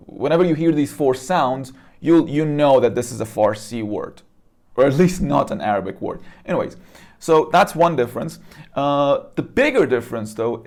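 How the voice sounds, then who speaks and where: A man's voice: medium pitch, a little mellow. A man speaking casually and conversationally as he explains a subject to viewers, often checking in as he goes. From Iran. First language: Persian. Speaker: presenter.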